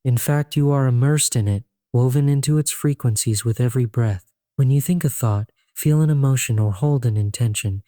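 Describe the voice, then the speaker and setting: A man narrating a scripted guided sleep meditation in a solemn, measured, earnest way. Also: medium pitch, warm tone, no room sound, studio quality.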